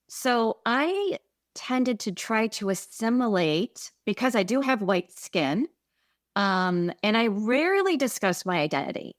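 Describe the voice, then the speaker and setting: A woman's voice: fairly high pitch, big pitch swings, measured pace, dry recording. Midwestern woman co-host, reflective and earnest, dry close mic. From American Midwest. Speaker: podcast co-host. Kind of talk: conversational podcast chat.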